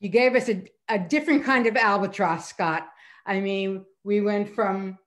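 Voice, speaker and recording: A woman speaks as a guest judge at an online storytelling contest. Her voice sits at a middling pitch, and she gives conversational feedback over a video call. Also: normal speed. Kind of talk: casual spoken feedback.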